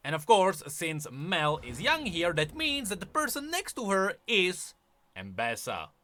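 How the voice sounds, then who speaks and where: A man with a bright, forceful, fairly high voice delivers explainer commentary like a video essayist. He is emphatic, his pitch swings a lot, and he drops in wry asides. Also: huge pitch swings, a little slow, slight background noise. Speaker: video essayist on game lore. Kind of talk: semi-scripted explainer commentary.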